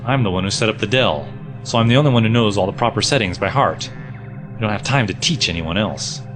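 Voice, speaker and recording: A man's voice: fairly low pitch, animated, fairly quick, music in the background, lightly acted. A man playing a practical, sardonic rebel technician with a dry, impatient manner in an audio drama. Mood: businesslike. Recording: echoey, long reverb.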